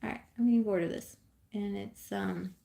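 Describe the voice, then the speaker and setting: A woman's voice: mid-range voice, steady tone, normal speed, vocal fry, mellow. A woman in her thirties from California, chatting casually on a live stream at home while she knits, rambling with many ums and small laughs.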